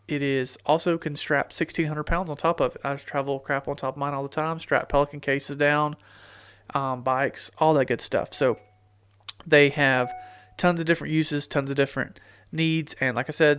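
A middle-aged man with a stuffy, congested nose talks into a podcast mic, rambling along with fillers and restarts. His voice stays smooth and clear despite the cold, and the sound is dry and close. His pitch barely moves, so the delivery runs level and even. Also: dry recording. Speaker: podcast host. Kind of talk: casual solo podcast talk. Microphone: studio podcast microphone.

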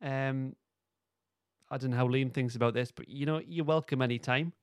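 A man's voice: medium pitch, steady tone, normal speed, smooth, no echo, studio quality. A man hosting a podcast, chatting casually in an informal, understated way. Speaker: podcast host. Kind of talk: casual review chat.

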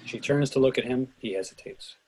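Casting: male stage directions reader, husky medium-pitched voice, scripted narration for a play. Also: fairly quick, darkish tone, low-quality recording.

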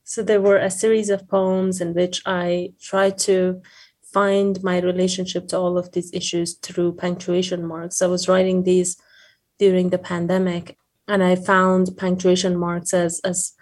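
Woman, Afghan accent, native Persian speaker, lowish voice, flat intonation, hesitant, reflective, interview guest. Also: measured pace. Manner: hesitant, searching for words, reflective. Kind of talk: thoughtful interview answers.

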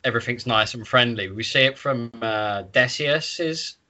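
A man's voice: mid-range voice, measured pace, pushed and bright. A man co-hosting a podcast, casually discussing a book in an informal, sweary way. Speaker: podcast co-host.

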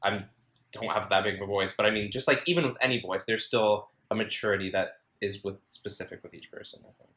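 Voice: sits low, fast talker, fairly bright tone, reverberant. A host interviewing a singer in a bubbly, giggly way, with many fillers. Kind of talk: casual interview chat.

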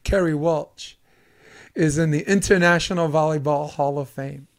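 Gravelly, joking male podcast host. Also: fairly high pitch. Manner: jokey, rambling, with quick asides and laughs. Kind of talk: casual podcast chat.

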